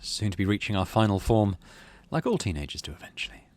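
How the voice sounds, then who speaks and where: A man's voice: lowish voice, sing-song, fast talker, darkish tone. A man hosting a game let's play, narrating story text and musing aloud in casual, understated commentary. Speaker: gaming channel host.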